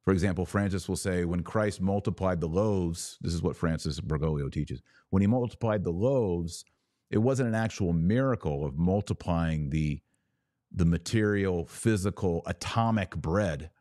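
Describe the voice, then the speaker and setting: A man with a very low, mellow voice speaks as a podcast host giving religious commentary. He is didactic and emphatic, setting out his phrases deliberately. The sound is dry and close, with little room to it. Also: no room sound.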